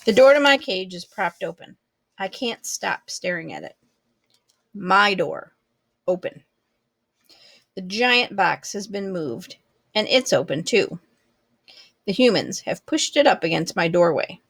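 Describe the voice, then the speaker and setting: Slow, measured woman reading a storybook. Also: medium pitch, animated, fairly bright tone, no echo. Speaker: storybook reader. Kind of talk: expressive children's book read-aloud.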